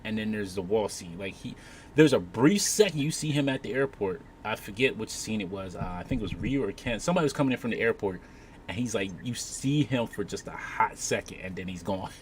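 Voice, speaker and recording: A man's voice: on the low side, animated, a little fast, slight background noise. A man chatting casually and informally as a panelist at a live event. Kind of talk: casual chat. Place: convention panel room.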